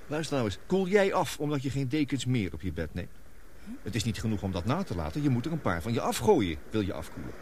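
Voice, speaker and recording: A grave, guilt-ridden scientist played by a male actor in a radio drama. He explains things deliberately in a medium-pitched voice. Light noise sits on the old recording. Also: very animated, darkish tone, close to an everyday voice. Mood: grave explaining.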